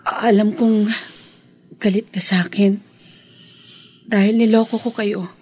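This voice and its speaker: An actress playing a remorseful, unfaithful lover in a Filipino radio drama, pleading and hesitant. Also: female voice, medium pitch, fairly even intonation, slow, a little mellow, slight background noise, close to an everyday voice.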